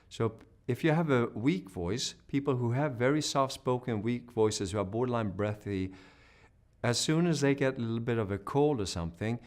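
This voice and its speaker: A man giving an online singing lesson, explaining patiently and checking in with "right?". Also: fairly low pitch. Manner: demonstrates hoarse and humming sounds mid-explanation. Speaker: singing teacher. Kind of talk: instructional video lesson.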